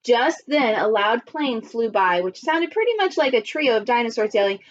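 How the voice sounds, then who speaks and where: A woman teaching young children in a storytime lesson. Her voice sits fairly high, with expressive delivery and simple, instructive phrasing. The home recording sounds slightly muffled. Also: normal speed. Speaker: primary school teacher. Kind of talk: children's read-aloud and lesson.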